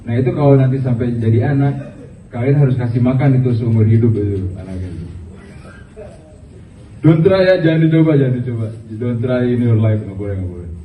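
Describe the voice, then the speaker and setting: A man, doing halting, filler-heavy stand-up at an open mic and slipping in English phrases. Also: medium pitch, animated. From Indonesia. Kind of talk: stand-up comedy. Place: small open mic venue, indoors. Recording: roomy, distant, tinny phone-like audio.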